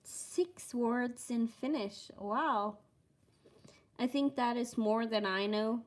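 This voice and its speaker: A young woman from Norway with a Norwegian accent, chatting casually and softly while hosting a stitching livestream and reading viewer comments aloud. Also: fairly high pitch. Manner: slow and soft-spoken, reading chat aloud.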